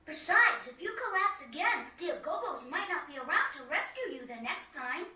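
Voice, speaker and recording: Young boy adventurer, piercingly bright, animated, eager, matter-of-fact, video game cutscene, distant sound. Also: high-pitched, normal speed, heavily acted. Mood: upbeat. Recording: echoey, distant game audio.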